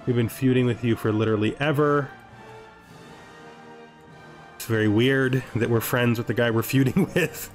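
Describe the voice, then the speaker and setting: A man's voice: medium pitch, steady tone, moderate pace, pauses often. A man giving casual commentary on a strategy game, thinking aloud as he plays. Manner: thinks aloud, talking to himself. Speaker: gaming video host. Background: background music.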